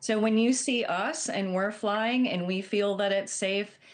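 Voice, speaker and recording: A woman airline captain giving measured, earnest explanations as an expert guest in a news interview. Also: medium pitch. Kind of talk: broadcast news interview answers.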